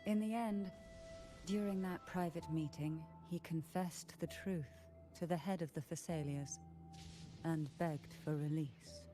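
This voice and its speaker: A poised noblewoman from a fallen house in a video game. Her voice is low and very mellow, with formal, measured storytelling that keeps its secrets close. Faint music sits behind her. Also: steady tone. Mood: grave. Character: poised, secretive noblewoman of a disgraced old family.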